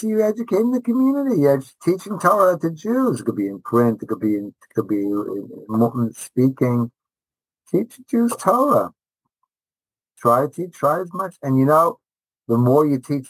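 A man's voice: mid-range voice, big pitch swings, moderate pace, no echo, low-quality recording. A middle-aged man, a rabbi and teacher answering interview questions conversationally, enthusiastic and emphatic, repeating phrases and often restarting.

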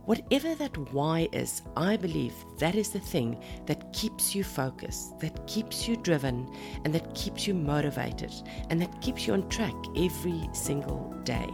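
Hoarse low-voiced woman with a cold. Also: very animated, measured pace, music in the background. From Zimbabwe. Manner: chatty and encouraging, addresses the listener as a friend. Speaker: podcast host. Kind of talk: casual motivational podcast monologue.

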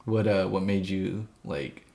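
A man's voice: low-pitched, measured pace, vocal fry, dark tone. A man in his twenties hosting a casual family interview as the interviewer. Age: 20s. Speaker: podcast host, interviewer. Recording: noticeable background noise.

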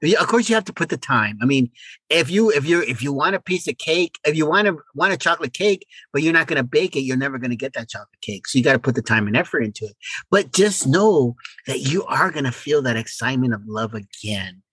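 A man co-hosting a podcast in a casual, conversational style, with slightly halting phrasing. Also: mid-range voice, expressive, a bit bright, husky, no echo. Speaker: podcast co-host. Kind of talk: casual relationship chat.

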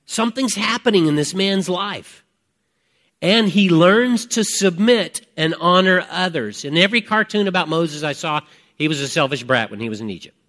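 A man in his fifties preaching a conversational sermon, reflective and emphatic, with deliberate pauses and repeated phrases. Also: high-pitched, expressive, a bit bright. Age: 50s. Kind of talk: conversational sermon with personal testimony.

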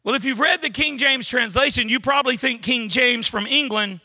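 Bright, high-voiced Southern pastor preaching. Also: male voice, fairly quick, soft background music. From Southeast Louisiana. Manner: folksy, joking asides, frequent restarts. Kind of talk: sermon.

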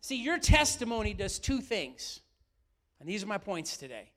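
A middle-aged male pastor preaching a sermon, his voice a little raspy from yelling, often asking the room questions. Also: sits high, sing-song, normal speed.

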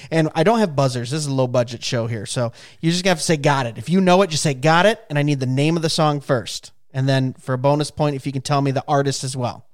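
A man in his late thirties hosting a casual podcast chat and running a music quiz for his guests, animated and quick to laugh when someone scores. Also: medium pitch. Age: 30s. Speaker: podcast host.

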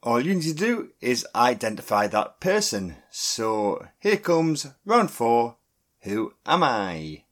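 A man's voice: mid-range voice, sing-song, fairly bright tone. A man hosting a podcast quiz, reading questions and answers in a scripted, upbeat and encouraging way. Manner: upbeat, encouraging, reads clues and answers slowly and clearly. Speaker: quiz host.

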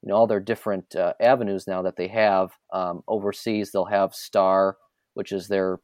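A man's voice: low voice, level tone, normal speed, darkish tone, no room sound, studio quality. A man appearing as a podcast guest, explaining things hesitantly as he searches for words. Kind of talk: casual podcast chat.

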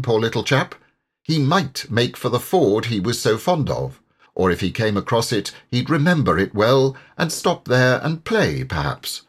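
A man's voice: fairly low pitch, slightly rough. A man voicing a kindly water rat for an audiobook, a grave and earnest friend full of concern. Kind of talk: audiobook character voice.

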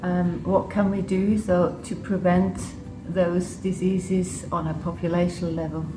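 A woman's voice: on the low side, level tone, a little slow, noisy background. A woman with an English accent, from Switzerland and Liechtenstein, giving unscripted interview answers as a guest in a thoughtful, hesitant way. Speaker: interview guest, public health official. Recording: roomy echo, music behind. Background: music.

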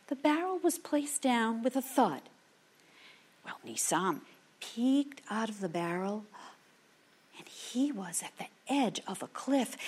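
A woman telling a folktale aloud in a dramatic manner, sometimes hushed for suspense. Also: high-pitched, breathy edge. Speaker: storyteller. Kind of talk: oral folktale storytelling to an audience.

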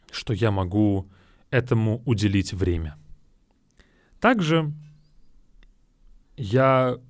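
Lively Russian man teaching slowly. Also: on the low side, no room sound. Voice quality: speaks slowly and repeats words to explain them. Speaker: Russian teacher and podcast host. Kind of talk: slow teaching podcast, Russian with English glosses.

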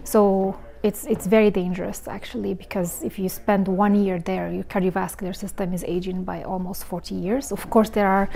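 A woman's voice: mid-range voice, level tone, moderate pace, vocal fry, hardly any pauses, warm tone. A woman from Morocco, a clinical scientist, patiently explaining her research in an interview. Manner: patient and explanatory, with "you know" fillers.

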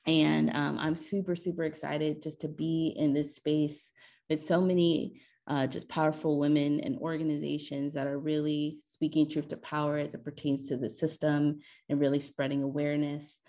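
A woman's voice: low-pitched, little pitch movement, moderate pace, mellow. A woman from Los Angeles, executive director of an advocacy group, talking upbeat with lots of ums. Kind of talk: casual panel talk.

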